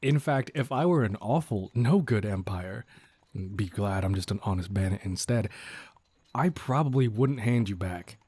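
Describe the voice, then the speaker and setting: A man's voice: on the low side, expressive, normal speed, darkish tone, breathy edge, no echo. A man playing a cocky but considerate bandit leader in an audio roleplay, talking to the listener in a casual, folksy, wryly mock-courteous way. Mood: wry, conspiratorial.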